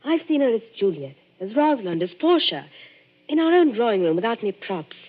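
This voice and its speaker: A flustered young companion. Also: female voice, a little high, sing-song, a little fast. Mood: earnest. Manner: breathless, flustered, earnest. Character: anxious young woman companion to an actress. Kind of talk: 1940s radio acting. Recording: dull old radio broadcast recording.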